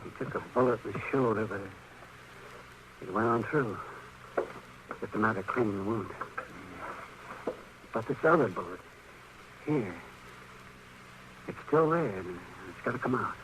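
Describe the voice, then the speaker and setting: An American man acting a crusty, excitable older town doctor, fussy and halting, in a 1950s Western radio drama with a hissy old recording. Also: fairly low pitch, close to an everyday voice. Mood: grave, working.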